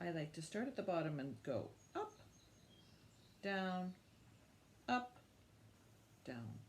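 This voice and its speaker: Slow talking lady doing kids storytime, very up and down voice, encouraging, some noise behind her. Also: lowish voice. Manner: encouraging, simple repetitive phrasing for young children. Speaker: children's librarian storytime host. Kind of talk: children's storytime with read-aloud and instruction.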